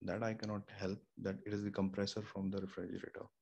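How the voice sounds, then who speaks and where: A man's voice: on the low side, flat delivery, fast talker, vocal fry, darkish tone, slightly rough, muffled recording. A young man with an Indian accent, explaining home recording step by step, with many fillers and restarts. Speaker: home studio tutorial host. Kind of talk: casual screen-share tutorial. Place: small home room with air conditioner and fridge nearby. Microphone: studio microphone through an audio interface. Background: faint room hum.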